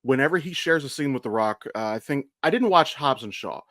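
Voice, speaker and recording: A man's voice: mid-range voice, expressive, brisk, fairly bright tone, no room sound, clean studio sound. A man co-hosting a podcast in casual chat, with a blunt, enthusiastic, sweary way of talking. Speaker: podcast co-host and amateur voice actor.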